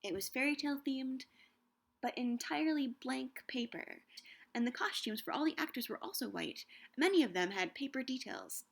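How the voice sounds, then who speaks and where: Woman, young adult, higher pitch, vocal fry, scripted educational narration, measured delivery. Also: expressive. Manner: measured, slowing to savor quoted lines. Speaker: vlogger on Shakespeare and books.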